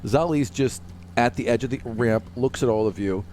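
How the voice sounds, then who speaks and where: A man's voice: mid-range voice, moderate pace, a little mellow, a little hiss or hum. A man running a tabletop game as game master, narrating and chatting casually with his players. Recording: music playing under the voice. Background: background music.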